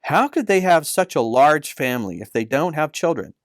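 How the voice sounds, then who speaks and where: Man reading as a curious young girl, pitched up. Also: very animated, normal speed, no echo, studio quality. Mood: puzzled, curious. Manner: questioning.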